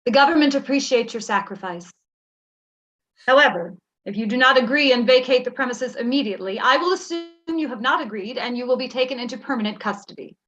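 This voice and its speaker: A woman's voice: on the high side, animated, a little fast, a bit bright. A woman playing an icy, smugly controlling government director with an officious, falsely polite manner, in a staged play reading. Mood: coldly threatening. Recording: slightly dull, lo-fi audio.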